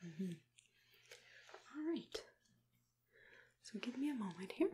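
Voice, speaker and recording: A young woman whispering gently and soothingly close to the mic, in an ASMR roleplay as a dentist. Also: on the high side, very animated, slow pace, noisy background. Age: young adult. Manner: soothing, gentle, hesitant murmured phrasing. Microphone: close to the microphone.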